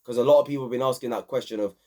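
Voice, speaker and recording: A young man hosting an excited, slangy football match review, a bit hoarse from screaming. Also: medium pitch, racing. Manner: animated, repetitive, slangy. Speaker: football channel host.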